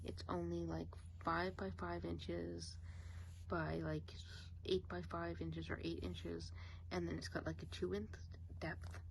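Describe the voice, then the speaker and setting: A woman hosting an ASMR channel who chats casually and softly about her own artwork, slipping into whispers. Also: lowish voice, vocal fry, slight background noise, low-quality recording. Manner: soft-spoken, rambling with fillers, slipping into whispers. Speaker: ASMR channel host and artist. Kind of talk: casual chat.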